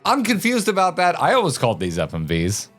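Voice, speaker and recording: A man co-hosting a video game show, talking casually in an animated, rambling and opinionated way. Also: fairly high pitch, fairly quick, soft background music. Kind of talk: casual chat and commentary.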